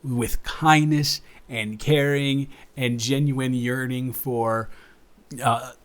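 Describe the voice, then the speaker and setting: A man's voice: mid-range voice, fairly even intonation, slow pace, natural voice. Man acting a hesitant, affectionate male roommate. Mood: mock-indignant. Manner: hesitant, rambling, stammering. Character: shy, affectionate male roommate confessing to best friend. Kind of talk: improvised intimate audio roleplay.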